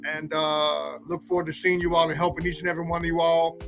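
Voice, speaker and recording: A man's voice: fairly high pitch, level tone, fast talker, creaky. A male pastor talking casually about faith in an informal, colloquial, preacherly manner. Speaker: pastor, guest co-host. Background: music.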